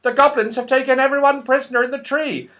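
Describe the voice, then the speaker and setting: A man's voice: very high-pitched, fairly even intonation, fast, sharp tone, pitched above the performer's own voice. A man voicing a tiny red squirrel in a children's storybook, with a thin voice and an urgent, worried manner. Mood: agitated, worried. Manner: agitated, urgent explaining. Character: tiny little red squirrel, anxious messenger.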